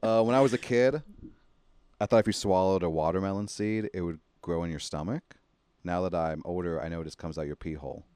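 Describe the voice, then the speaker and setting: A young man with a slight speech impediment, talking quickly and joking loosely as a podcast host. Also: sits low, a little mellow, clean tone, no room sound. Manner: fast-talking, jokey, swears freely. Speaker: podcast host and stand-up comedian. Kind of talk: casual podcast chat.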